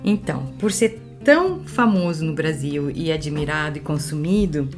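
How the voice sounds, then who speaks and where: A woman from Brazil, hosting a language podcast in a clear, friendly, teacherly way. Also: sits low, expressive, moderate pace, darkish tone, faint music behind. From southern Brazil. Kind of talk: casual conversational podcast chat.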